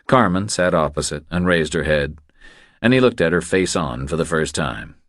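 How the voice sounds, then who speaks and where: A man reading scripted audiobook narration in a measured storytelling manner. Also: rumbling low, expressive, fairly bright tone, no room sound, clean studio sound.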